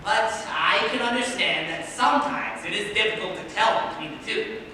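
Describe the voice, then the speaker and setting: A woman's voice: medium pitch, animated, normal speed, pushed and bright. A woman playing an insistent adviser to a queen, formal and emphatic, in a staged drama reading. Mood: assured, persuasive. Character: queen's female adviser, insistent, coolly justifying harsh justice. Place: indoor stage hall, recorded from afar. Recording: distant mic, echoey hall, faint noise.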